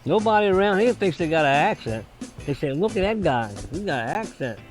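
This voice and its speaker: A man's voice: sits high, lively intonation, brisk, faint music behind. A man, interviewed in a documentary, who talks in a jokey, easygoing way.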